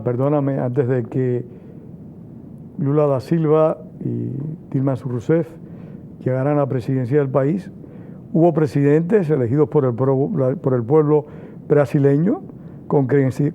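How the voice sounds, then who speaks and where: A man hosting a TV interview program in Spanish, speaking in a measured, formal way. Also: mid-range voice. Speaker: TV interview host. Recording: tinny, phone-like sound with faint noise.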